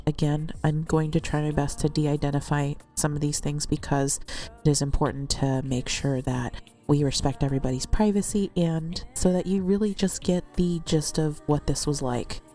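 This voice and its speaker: A woman with a slightly congested, cold-affected voice, telling a personal account in a casual, measured storytelling way. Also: deep voice, steady tone, warm tone, soft background music. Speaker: host narrating a personal account.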